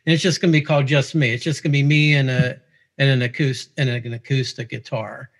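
A man's voice: medium pitch, steady tone, fast. Chuckling Pittsburgh-accented man. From Pittsburgh, USA. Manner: rambling, chuckles often. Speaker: guest musician. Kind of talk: casual chat.

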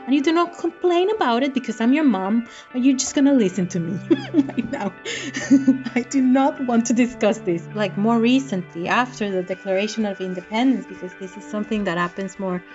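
A woman's voice: on the high side, lively intonation, a little fast, a little mellow, lo-fi sound, faint music behind. A middle-aged woman from Costa Rica, a curator, speaking passionately and frankly in an interview. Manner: passionate, outspoken. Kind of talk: interview talk.

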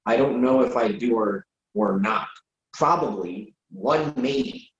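A man plays an exasperated, reasonable guy humoring a friend late at night in a comic play reading. His pitch swings widely as he stammers in disbelief. The sound is roomy and a little dull. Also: big pitch swings, takes it slowly, creaky, heavily acted. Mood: hesitant. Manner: hesitant, stammering, incredulous.

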